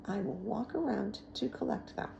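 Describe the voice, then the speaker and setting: Storybook schoolteacher, male, read by a woman: gentle, encouraging, wry, with heavy creak and a mellow tone. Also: fairly high pitch, animated, moderate pace, slight background noise. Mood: wry, easygoing. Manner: gentle, reassuring.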